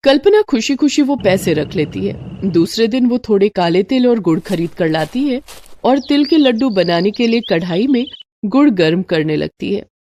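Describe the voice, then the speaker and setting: A woman's voice: medium pitch, animated, moderate pace, warm tone, a little hiss or hum. A woman narrating a scripted moral story as a storyteller.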